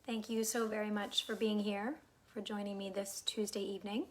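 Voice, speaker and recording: A woman leading a guided meditation in a calm, unhurried, soothing way, her soft phrases trailing off into breathiness. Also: mid-range voice. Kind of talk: guided meditation, gentle instruction.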